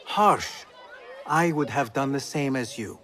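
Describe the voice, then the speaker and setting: A man's voice: medium pitch, slow, fairly bright tone. A man playing a confident, teasing young street thief in a video game. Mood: playful, consoling. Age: young adult. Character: cocky, playful, warm-hearted young male street thief. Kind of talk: dramatic video game acting.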